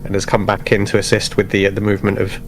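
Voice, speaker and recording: A man's voice: on the low side, monotone, quick pace. A man speaking casually as a tabletop game player, narrating what his character does. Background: music under the voice.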